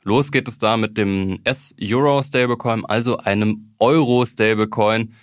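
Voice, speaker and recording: A man's voice: fairly low pitch, fairly even intonation, unhurried, no room sound. Man hosting a studio podcast interview. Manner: smooth scripted intro, then brief conversational prompts. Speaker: podcast host, editor. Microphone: studio microphone.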